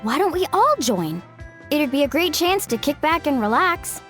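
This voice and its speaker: An easygoing young game heroine, high and animated, cheerful in temper, with faint music underneath. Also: female voice, moderate pace. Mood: breezy. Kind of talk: video game character acting.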